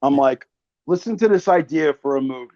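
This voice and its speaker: Enthusiastic man hosting, higher-pitched voice. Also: animated, a little fast, darkish tone, no echo, lo-fi sound. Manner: enthusiastic, emphatic fan talk. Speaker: podcast host interviewing a guest. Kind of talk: casual, profane interview chat.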